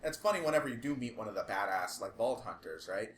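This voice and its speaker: A man co-hosting a podcast in casual chat, with an animated, exasperated, sweary way of talking. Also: fairly low pitch, fast talker. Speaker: podcast co-host. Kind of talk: casual podcast chat about movies and games. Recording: echoey room with background noise.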